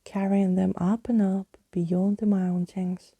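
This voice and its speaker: A woman reading a bedtime story in ASMR style, soft and hushed, often slipping into whispers, close to the microphone. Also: mid-range voice, flat delivery, takes it slowly, breathy edge, dry recording. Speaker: storyteller reading a book to listeners.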